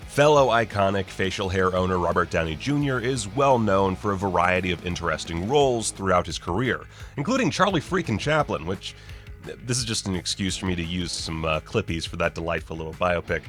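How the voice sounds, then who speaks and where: A man's voice: deep voice, animated, brisk, a bit bright, soft background music. A man narrating a scripted list video as host, with wry, sarcastic, joking asides. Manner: wry, sarcastic, jokey mock-casual delivery.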